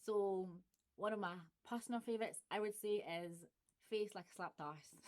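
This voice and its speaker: A woman's voice: medium pitch, moderate pace. A woman with a Glaswegian accent chatting casually on her channel, laughing often and playing with example phrases. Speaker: YouTuber. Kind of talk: casual vlog chat.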